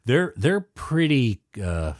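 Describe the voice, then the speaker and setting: A man's voice: medium pitch, sing-song, slow pace, dry recording, clean studio sound. A man hosting a casual interview podcast, asking questions and chatting conversationally.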